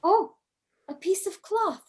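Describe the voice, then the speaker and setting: High-voiced, frightened young daughter played by a woman. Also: slow pace, darkish tone. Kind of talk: old-time radio horror drama acting.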